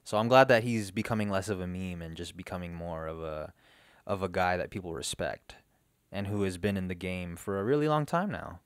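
A young man co-hosting a podcast from a living room, chatting casually on a boom mic with headphones, laughing often and swearing freely. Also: brisk, clean tone, dry recording. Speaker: podcast co-host. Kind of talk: casual chat. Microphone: boom mic on arm, headphones.

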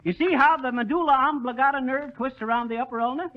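An actor playing a blustery, bumbling know-it-all shopkeeper in folksy 1930s radio comedy style, mangling big words. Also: male voice, extremely high pitch, fast talker. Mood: pompous salesman. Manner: folksy slang, malapropisms, exasperated bluster. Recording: muffled 1930s radio recording.

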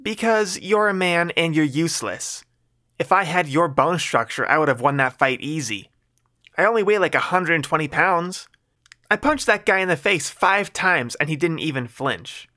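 A man's voice: fairly high pitch, animated, moderate pace, sharp tone, voice pushed up. A man voicing a scornful, furious young woman. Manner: snapping, scornful. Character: tough, furious young woman, blunt and bitter.

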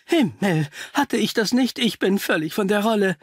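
Man voicing a flustered village doctor, high and husky. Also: sing-song, dry recording, studio quality, full character voice. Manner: agitated, halting.

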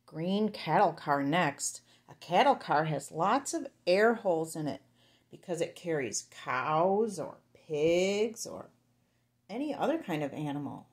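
A woman's voice: fairly low pitch, sing-song, a little slow. A woman reading a picture book aloud to small children, sing-song and playful, speaking to them directly. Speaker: children's storyteller.

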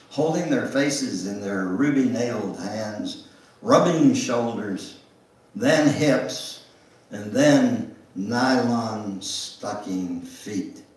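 An older man gives a dramatic memoir reading in a church, theatrical and deliberate, drawing out words and pausing for emphasis. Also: medium pitch, far from the mic. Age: older, 70s or above. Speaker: guest speaker reading at a church. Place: church sanctuary, indoors at the front. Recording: roomy, echoing church sound from afar.

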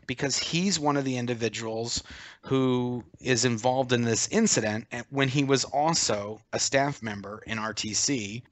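A man in his forties on a video call, casually telling a story in an animated way and acting out what others said. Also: medium pitch, dry recording. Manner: animated, acts out quoted voices, laughs as he tells it. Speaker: interview guest.

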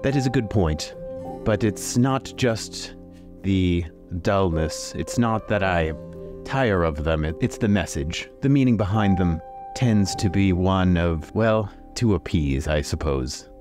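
Guy doing a tired stern old baron for a fantasy rpg, deep n formal, music behind him. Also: animated. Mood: weary, resigned. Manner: formal, measured, hesitant pauses. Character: weary, stern, softening older male fantasy baron. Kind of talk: in-character tabletop roleplay.

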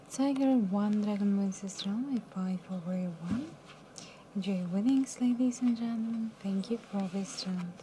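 A young woman dealing cards at a live casino table, calling results in a soft, mumbly voice that sinks toward a whisper. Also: mid-range voice, a little hiss or hum, low-quality recording. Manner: soft, mumbly, drops to near whisper at round ends. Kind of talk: calling card game rounds.

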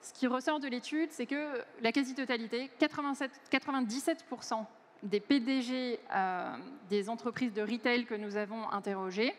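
A woman in her forties delivers a keynote from a big conference stage, her fairly high voice picked up by a headset mic. She talks fluently but leans on many hesitant fillers. A long reverb from the hall trails her words. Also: normal speed. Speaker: keynote speaker.

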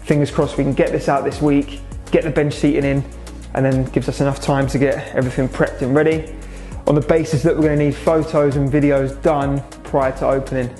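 A man's voice: mid-range voice, flat delivery, fairly quick, hardly any pauses, warm tone, faint music behind. A young man from England talking casually and matter-of-factly through a restaurant renovation, speaking to a camera in a large indoor space. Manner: casual, matter-of-fact, lots of "so" and "obviously". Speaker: restaurant owner. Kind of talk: vlog update on a restaurant renovation.

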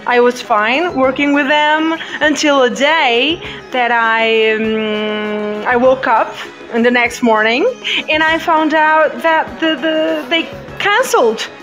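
A woman's voice: high-pitched, slow, fairly bright tone, soft background music, poor audio. A woman with a Portuguese accent, Portuguese as her first language, hosting a live podcast chat with many hesitant fillers. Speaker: podcast host.

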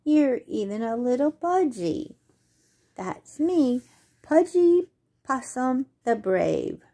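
A woman voicing excited young possum siblings in a children's story, raising her pitch and volume. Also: takes it slowly, full character voice. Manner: bright, raised, excited delivery.

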